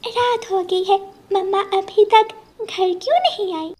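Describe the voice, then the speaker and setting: A woman's voice: extremely high pitch, slow pace, darkish tone, pitched above the performer's own voice. A woman voicing an innocent, wistful little girl bird with a childlike voice in a Hindi cartoon story. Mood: eager, hungry.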